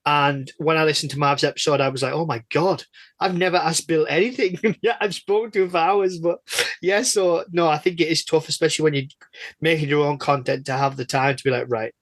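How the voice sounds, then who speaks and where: A man's voice: fairly high pitch, fairly quick, a bit bright. A man hosting a casual podcast interview, chatting in a rambling, jokey and self-deprecating way. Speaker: guest host and interviewer of a podcast.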